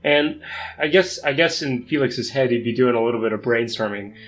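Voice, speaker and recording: A man's voice: medium pitch, a little fast, fairly bright tone, poor audio. A man chatting casually as a player in a tabletop roleplaying game. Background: music.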